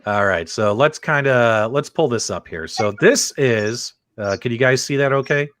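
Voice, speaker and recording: Very animated man hosting a podcast. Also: mid-range voice, moderate pace. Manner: excitable, chatty, addresses co-hosts and audience. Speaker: host of a video game podcast. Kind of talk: casual animated panel hosting.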